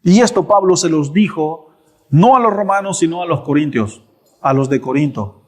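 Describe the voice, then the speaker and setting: A man's voice: fairly high pitch, animated, normal speed, a little mellow. A man in his thirties preaching a sermon in a church, asking rhetorical questions and answering them emphatically. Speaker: pastor.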